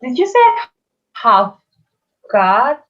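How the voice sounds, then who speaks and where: A woman playing a bewildered boy newcomer, halting and incredulous, in a theatre table read. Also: a little high, big pitch swings, pushed and bright, exaggerated voice. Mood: confused. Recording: dull lo-fi microphone.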